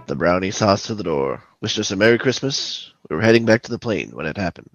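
Man as a low, deadpan police detective. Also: fast talker, no echo, dull audio, soft background music. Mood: dry narration. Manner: deadpan, clipped. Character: stern, clipped male police detective narrator. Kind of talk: 1950s radio police drama parody.

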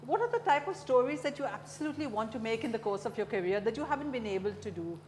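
Middle-aged Indian-accented female host, deliberate but lively intonation, reverberant room with background hum. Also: a little high. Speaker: interviewer, host. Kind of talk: conversational interview. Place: indoor cafe-like room, seated at a long table.

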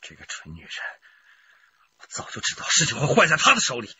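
A man's voice: on the high side, wildly swooping pitch, fast, sharp tone, muffled recording, slight background noise, full character voice. A man playing a cunning, reckless gambler and murder suspect, brazen and sneering, in a radio crime drama. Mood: cynical confessing.

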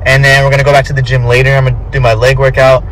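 A young man in his twenties vlogs from the driver's seat, phone at arm's length. He talks fast and loose, peppering everything with bro and offhand swearing. A faint hum of the car and outside traffic sits under his voice. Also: medium pitch, monotone, fairly bright tone, low-quality recording. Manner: laid-back slangy, says bro a lot, swears casually. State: tired, feeling off. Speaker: vlogger. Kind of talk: casual vlog talk to camera. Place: inside a parked car. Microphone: phone held at arm's length.

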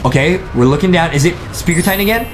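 Excitable young man, very animated. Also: a little high, fast talker, background noise. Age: young adult. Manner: excitable, repetitive, teasing. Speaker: video host. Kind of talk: hyped reaction commentary and banter. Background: music.

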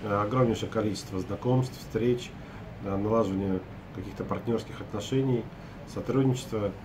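Russian broker, 40s, lowish voice, halting and filler-heavy, hotel-room webcam recording with audible hum. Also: male voice. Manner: hesitant, many filler sounds and restarts. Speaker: property broker. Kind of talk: casual recap to camera. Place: hotel room at night, window behind.

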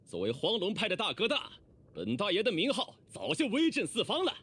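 A man's voice: sits high, huge pitch swings, fairly quick, fairly bright tone, breathy edge, exaggerated voice. A man voicing a swaggering, hot-blooded young oni gang boss in a video game, boastful, theatrical and rowdy. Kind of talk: animated video game character voice lines.

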